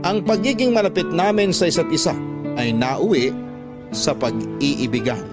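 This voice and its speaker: A man's voice: on the high side, moderate pace, loud music behind the voice. A man with a Filipino accent narrating a scripted first-person story as a radio storytelling host. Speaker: storytelling host reading a letter sender's story.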